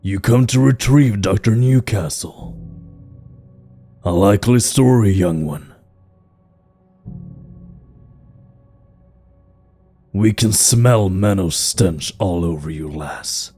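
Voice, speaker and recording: A man acts out a proud troll king of a stone people in a fantasy audio drama. His voice is very low and he talks slowly and formally, with grave authority. Music plays clearly underneath. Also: mellow. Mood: accusing, menacing. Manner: stately, formal, deliberate. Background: background music.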